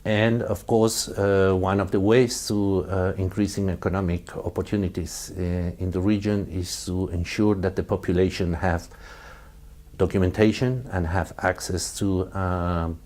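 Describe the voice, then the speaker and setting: Man, UN representative, very deep voice, flat monotone, very slow, halting with fillers. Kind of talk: documentary interview.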